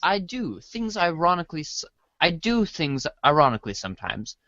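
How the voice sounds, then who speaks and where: A man's voice: on the high side, very animated, normal speed, sharp tone, no room sound, highs cut off. A man playing an earnest, easily defensive, uncool teenage boy in a casual comic reader's theater. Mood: incredulous.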